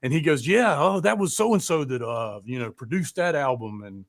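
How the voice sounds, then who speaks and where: A man's voice: mid-range voice, expressive, moderate pace. A man hosting a casual live chat in a rambling, joking manner with plenty of swearing. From American South. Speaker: podcast host.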